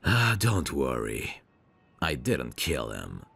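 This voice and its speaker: A man playing a protective, self-assured, flirtatious mafia heir in an ASMR roleplay, commanding and smooth-talking. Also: rumbling low, huge pitch swings, slow pace, a bit bright, no room sound, heavily acted. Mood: tender, flirtatious.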